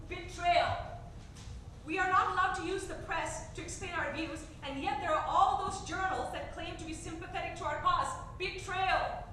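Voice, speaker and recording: Woman acting a defiant, bitter young revolutionary, high-voiced, echoey stage. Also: bright, forceful tone. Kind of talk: staged play reading. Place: theater stage, recorded from afar. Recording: echoey theater, distant mic, noisy.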